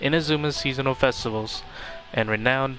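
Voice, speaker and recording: A man's voice: medium pitch, a little fast, a bit bright, soft background music, low-quality recording. A man livestreaming a video game playthrough, reacting casually and reading the dialogue aloud. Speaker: game streamer. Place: voice over video game audio.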